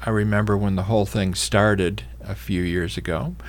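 A man's voice: fairly low pitch, fairly even intonation, moderate pace. A man hosting a radio talk show, asking easygoing, chatty questions with many fillers. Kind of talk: radio interview.

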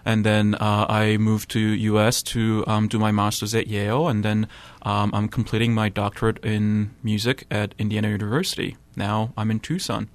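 A man's voice: on the low side, level tone, normal speed. A young man from South Korea, a church musical director answering radio interview questions, hesitating with many ums. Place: radio studio.

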